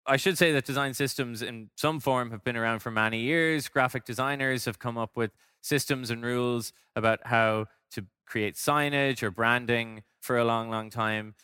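A man's voice: medium pitch, steady tone, moderate pace, hardly any pauses, bright, forceful tone, clean tone, no echo, studio quality. A man giving a prepared presentation as a design director, explaining things with conversational asides. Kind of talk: prepared conference-style presentation.

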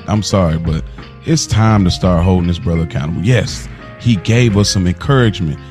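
A man's voice: lowish voice, darkish tone. An American man talks through his opinions as a podcast co-host. His delivery is casual and slangy, and he repeats words for emphasis. Music plays faintly behind his voice.

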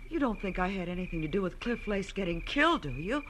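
A woman's voice: mid-range voice, very animated, fast, a bit bright. A woman playing a frightened, pleading young society woman in a 1940s radio crime drama, earnest and breathless. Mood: defensive. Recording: noisy vintage radio recording.

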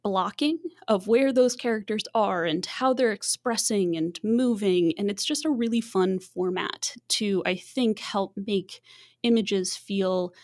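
A woman's voice: mid-range voice, unhurried, darkish tone. A woman co-hosting a podcast, chatting casually with frequent ums. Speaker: podcast co-host.

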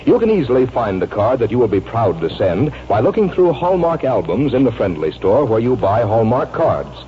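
A man's voice: medium pitch, big pitch swings, normal speed, a little hiss or hum. An American man reading scripted announcements as a 1940s radio announcer. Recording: dull 1940s radio broadcast recording.

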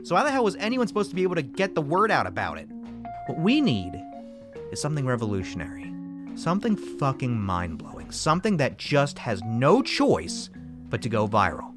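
A man playing an indignant, foul-mouthed flat-earth video maker in an audio drama, talking in emphatic, sweary rants. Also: mid-range voice, fairly quick. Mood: exasperated, defiant. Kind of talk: audio drama acting, conversational. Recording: music bed behind the voice.

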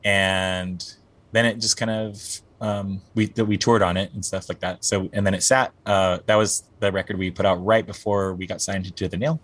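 A man's voice: sits low, little pitch movement, moderate pace, a bit bright, dry recording. A man in his forties, a band singer, talking casually in an interview. He rambles and often restarts his sentences, with plenty of fillers. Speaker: guest musician, band singer.

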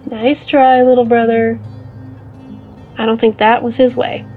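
An actress playing a wry, supportive older sister in an audio drama, heard through a phone filter. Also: female voice, on the high side, level tone, measured pace, over music. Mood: wry, practical. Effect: telephone filter. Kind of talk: audio drama dialogue, naturalistic. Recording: thin, band-limited phone line.

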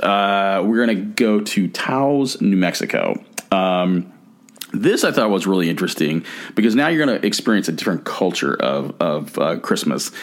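Male podcast co-host, lower-pitched, casual and loosely spoken, with repeated words and halting phrasing. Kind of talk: casual chat.

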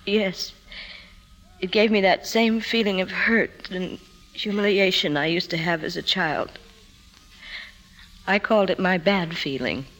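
An actress playing a troubled woman confessing painful memories in an emotive, halting way, in 1950s radio drama style. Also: mid-range voice, fairly bright tone, lightly acted, low-quality recording. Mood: troubled, remembering. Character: troubled career woman confiding painful childhood memories to her doctor. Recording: 1950s radio broadcast, music behind.

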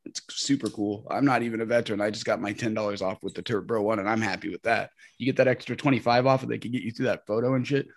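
A man co-hosting a casual podcast with a raspy, fry-heavy voice, swearing freely and clearing his throat. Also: lowish voice, steady tone, quick pace, hardly any pauses, dry recording. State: high on cannabis. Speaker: podcast co-host. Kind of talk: casual chat.

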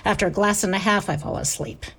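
A woman acting a weary, exasperated bus company owner in a dark comedy podcast, with a dry, self-deprecating manner. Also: medium pitch, fast, creaky, a little hiss or hum. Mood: weary, confessional. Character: weary, wronged small-town woman running a bus company.

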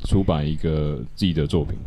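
Deep, quick-talking radio man. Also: fairly even intonation, dark tone, slight background noise. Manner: agreeable, repeats short phrases. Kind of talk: casual radio chat.

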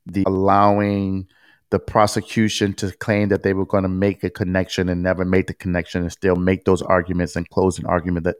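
A man's voice: sits low, completely flat delivery, brisk, clean tone, no room sound, studio quality. A man co-hosting a casual podcast, walking through a court ruling in a blunt, plain-spoken way and quizzing his co-host about it. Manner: explains a court ruling at length, reads passages aloud, quizzes his co-host. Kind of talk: casual legal discussion.

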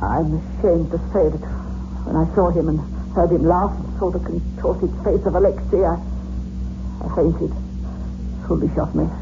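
A woman's voice: on the low side, steady tone, unhurried, pauses often, background noise. A woman playing a proud, poised young secret agent in a 1960s radio suspense drama, speaking in a formal, theatrical way. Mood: ashamed. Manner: formal, theatrical, self-assured. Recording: muffled vintage radio recording, background music.

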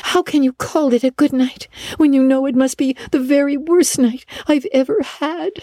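A woman's voice: high voice, fairly even intonation, moderate pace, mellow, dry recording, clean studio sound, pitched above the performer's own voice, close to an everyday voice. A woman voicing a reproachful, dramatic young orphan girl in an audiobook, plaintive and theatrical. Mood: reproachful, distraught. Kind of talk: audiobook character acting.

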